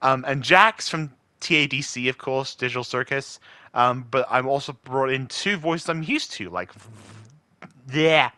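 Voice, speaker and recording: A British man casually addressing his followers in a chatty, halting, apologetic way as an amateur voice impressionist. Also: medium pitch, lively intonation, sharp tone, dry recording. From Britain.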